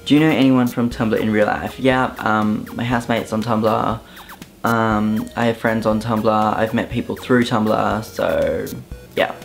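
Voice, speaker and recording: A young man from Southeast Queensland with an Australian accent, talking casually to camera with an offhand, relaxed manner and frequent ums. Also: fairly low pitch, unhurried, creaky, faint music behind. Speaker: vlogger. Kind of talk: casual vlog monologue.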